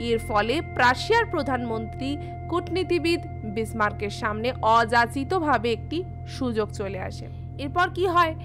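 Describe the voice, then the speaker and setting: A woman teacher with a distinctly high voice and a West Bengal Bengali accent. She explains material in a scripted, student-directed way, addressing listeners directly. Music plays clearly behind her. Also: animated, normal speed. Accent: West Bengal Indian Bengali. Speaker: teacher, presenter of an educational channel. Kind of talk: scripted exam lesson and channel promotion, addressed to students.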